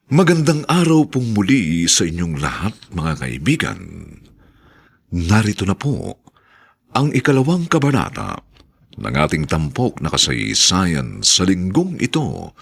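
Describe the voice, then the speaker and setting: A man narrating and announcing a radio drama with solemn, theatrical, drawn-out phrasing. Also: lowish voice, big pitch swings, creaky, husky.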